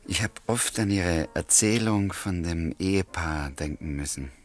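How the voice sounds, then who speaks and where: A low-voiced man, a wry and courteous writer from a radio play. He narrates confidingly and speaks with theatrical politeness, with music in the recording. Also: unhurried. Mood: soft, earnest. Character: male writer, wry narrator, courteous and gently wooing a lodger. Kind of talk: German radio play acting, narration and dialogue.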